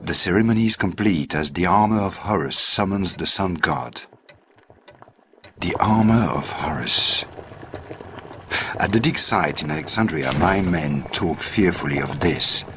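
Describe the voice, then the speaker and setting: A man's voice: low voice, lively intonation, unhurried. A man playing a grave, learned antiquities expert in a video game cutscene, solemn and ominous, with slightly noisy audio.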